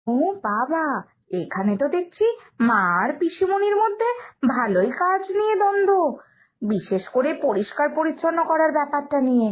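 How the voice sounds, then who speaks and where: Woman acting a sweet, high-pitched young Bengali bride. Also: big pitch swings, moderate pace. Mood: puzzled. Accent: West Bengal Bengali. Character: sweet, polite, naive young daughter-in-law. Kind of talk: Bengali cartoon family drama acting.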